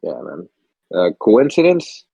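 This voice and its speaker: A man's voice: on the low side, wildly swooping pitch, measured pace, creaky, mellow, slightly rough, no room sound. A young man co-hosting a podcast, telling rambling stories in casual chat, swearing freely and a little stuffy from a cold. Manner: laid-back, swears freely, rambling storyteller. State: stuffy, under the weather. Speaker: podcast co-host.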